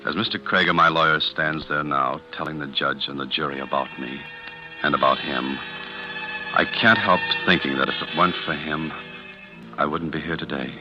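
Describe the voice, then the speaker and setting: An actor playing a bitter, brooding ex-convict in 1940s radio drama style. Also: male voice, rumbling low, steady tone, normal speed, over music. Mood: brooding, confiding. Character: bitter, brooding male ex-convict turned clerk. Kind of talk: 1940s radio drama acting, confiding first-person narration. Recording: muffled old radio recording.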